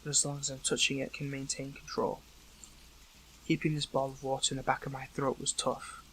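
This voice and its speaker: A man's voice: mid-range voice, completely flat delivery, fairly bright tone, a little hiss or hum, lightly acted, low-quality recording. Man acting tense, earnest captive hero. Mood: relieved, wry. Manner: halting, pleading, breaks and repeats phrases. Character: captive male superhero, earnest, hurt, questioning an old friend. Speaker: voice actor in an audio roleplay. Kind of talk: dramatic audio roleplay acting, one side of a dialogue.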